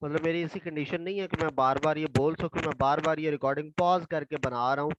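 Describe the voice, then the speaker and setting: A hoarse man, a tutor slowly reading exam questions aloud and explaining them step by step. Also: fairly high pitch, muffled recording, noisy background. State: hoarse from a throat infection.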